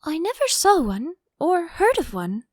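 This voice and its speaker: A woman's voice: very high-pitched, huge pitch swings, a little slow, a little mellow, no echo, studio quality, pitched above the performer's own voice, exaggerated voice. A woman voicing a curious young girl in a storybook, earnest, wondering and easily indignant. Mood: puzzled.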